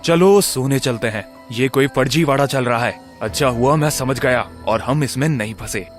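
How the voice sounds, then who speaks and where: A man's voice: medium pitch, moderate pace, natural voice. Man acting a wary, serious man, music behind. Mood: wary, uneasy. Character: wary, serious village man in horror cartoon. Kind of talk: Hindi animated story voice acting.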